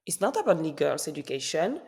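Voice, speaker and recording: An earnest woman guest in a podcast interview, her voice full of gravelly fry. She was born in Congo and grew up in Belgium and Switzerland. She speaks reflectively and sometimes repeats a word before going on. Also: low voice, big pitch swings, a little fast, a little mellow. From born in Congo, raised in Belgium and Switzerland. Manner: earnest, repeats words while searching for them.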